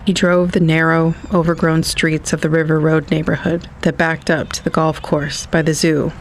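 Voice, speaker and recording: A man reading literary scripted narration for an audio story in a measured storytelling manner. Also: on the high side, slight background noise.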